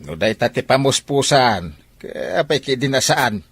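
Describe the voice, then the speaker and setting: A man's voice: mid-range voice, lively intonation, measured pace, husky, no room sound. A Filipino actor playing an older father, a hesitant, conspiring advisor in a radio soap opera. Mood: doubtful.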